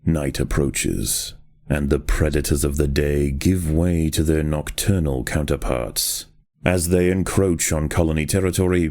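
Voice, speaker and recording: A man reading scripted nature documentary narration for a video game in a measured, deliberate, grave storytelling manner. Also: a bass voice, darkish tone.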